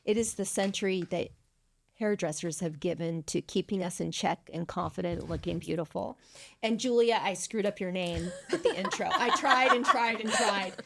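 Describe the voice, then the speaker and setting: A bubbly woman hosts a relaxed podcast conversation, her voice sitting at an ordinary middle pitch. She keeps things upbeat and light, laughing easily between her questions. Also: normal speed, gravelly, slight background noise. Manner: bubbly, laughs often, enthusiastic. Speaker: podcast host. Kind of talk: casual interview chat. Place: California.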